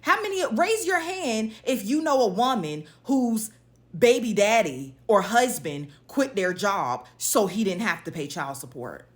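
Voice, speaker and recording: A woman's voice: medium pitch, expressive, unhurried. A woman giving an opinionated monologue to viewers, blunt and emphatic, posing pointed rhetorical questions as she goes. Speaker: social media commentator.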